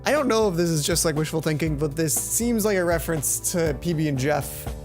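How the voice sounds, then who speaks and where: A woman's voice: low-pitched, expressive, a little fast, music in the background. A woman hosting a let's play, reading a visual novel's narration aloud and sometimes stumbling and rereading lines.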